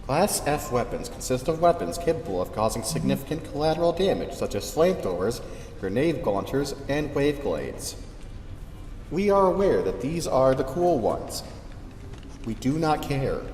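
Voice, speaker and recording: A man playing a dry, deadpan sci-fi official, formally reading out weapons regulations in a scripted announcement for an audio drama. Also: medium pitch, close to an everyday voice. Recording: echoey reverberant hall, faint noise.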